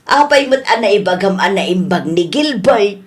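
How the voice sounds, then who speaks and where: A woman's voice: medium pitch, expressive, unhurried, lightly acted. A woman playing a chatty, inquisitive village woman who gossips about families, in a radio drama. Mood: questioning. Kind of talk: Ilocano radio drama acting.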